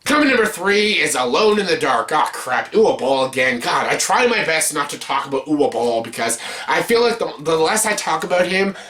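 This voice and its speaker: Bright-voiced man ranting through a movie countdown. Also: on the high side, sing-song, normal speed. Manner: exasperated, emphatic, repeats words for stress; blunt, casual swearing. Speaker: host of a movie countdown video. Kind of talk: opinionated unscripted movie review rant.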